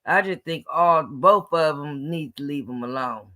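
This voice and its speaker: Raspy-voiced woman caller, blunt. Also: low voice, unhurried, sharp tone. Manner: blunt, opinionated slang-heavy talk. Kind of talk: casual phone-in chat.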